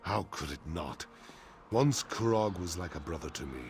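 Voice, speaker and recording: A man playing a weary, solemn orc chief in a fantasy game, speaking gravely in a deep, gravelly voice. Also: expressive, moderate pace, soft background music. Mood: grim, sorrowful.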